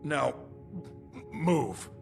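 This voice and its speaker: An actor playing a stern, overbearing guard captain in a fantasy video game, commanding and accusatory in manner. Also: male voice, a little high, lively intonation, drawn-out words, gravelly, over music, exaggerated voice. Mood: outraged.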